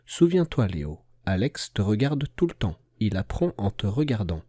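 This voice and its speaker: A man voicing a caring mother bear who gently instructs and reassures in a children's storybook. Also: fairly low pitch, big pitch swings, normal speed, husky, no room sound, clean studio sound. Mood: gentle advice.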